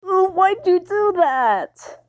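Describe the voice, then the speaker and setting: A woman's voice: very high-pitched, very animated, slow pace, no room sound, studio quality, pitched above the performer's own voice, full character voice. A woman voicing a hungry, whiny young male pirate captain who pleads for food. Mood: pained.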